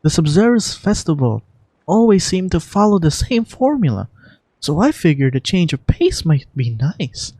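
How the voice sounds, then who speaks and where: An amateur voicing a frank, confident female mercenary from a game, relaxed and assured. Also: mid-range voice, moderate pace, slightly rough, no echo.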